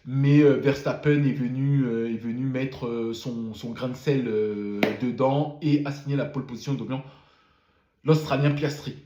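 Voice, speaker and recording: A man with a cold talks through a race weekend in a loose, halting way, stumbling over repeated words. His voice sits at an ordinary middle pitch. The sound carries a noticeable room echo. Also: steady tone, background noise. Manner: hesitant, many fillers and repeated words. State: has a cold, coughing. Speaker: F1 commentator. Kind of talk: casual post-race debrief.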